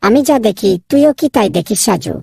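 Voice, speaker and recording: A woman voicing an excitable, friendly young boy in a children's cartoon, with a husky, creaky voice. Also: medium pitch, a little fast, loud music behind the voice. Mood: chatty.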